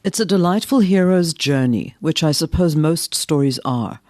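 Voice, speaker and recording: A woman's voice: low-pitched, expressive, moderate pace, a little mellow, no room sound, clean studio sound. A woman hosting a podcast interview, asking curious questions and slipping Afrikaans words into her English.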